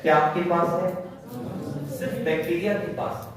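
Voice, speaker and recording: A man's voice: fairly high pitch, moderate pace, crowd noise. A male teacher giving a classroom biology lecture, questioning students and coaxing them to answer. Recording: echoey classroom from far off, with students.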